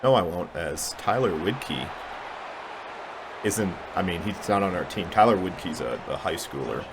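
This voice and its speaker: A man's voice: low-pitched, lively intonation, unhurried, background noise. A man giving casual, mumbly, self-deprecating commentary while playing a video game. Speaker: gaming channel host. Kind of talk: casual let's-play commentary.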